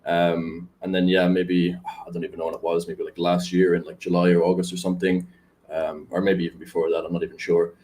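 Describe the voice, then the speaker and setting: A man's voice: deep voice, level tone, brisk, darkish tone. A young man, a podcast guest, chatting casually in a rambling way with many fillers. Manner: rambling, filler-heavy, laid-back. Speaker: guest, crypto community manager.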